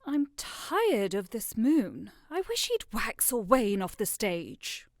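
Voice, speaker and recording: A woman's voice: fairly high pitch, very animated, takes it slowly, dry recording, studio quality, heavily acted. A woman playing a mocking noble bride, sardonic and dismissive, in a comic audio drama. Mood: bored, dismissive. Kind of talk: modernized Shakespeare audio drama comedy.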